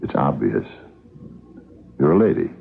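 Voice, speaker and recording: A shrewd police captain in a radio mystery, with an extremely deep, gravelly voice. He questions patiently, in halting, understated phrases, heard over a thin, narrow-band old recording. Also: sing-song, exaggerated voice. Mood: quietly accusing. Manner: hesitant, deliberate, understated interrogator. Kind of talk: radio drama acting.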